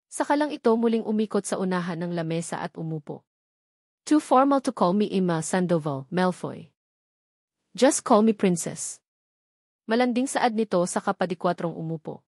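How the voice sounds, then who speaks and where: A woman's voice: mid-range voice, dark tone, no echo, clean studio sound. A woman reading a romance novel as a scripted audiobook narration.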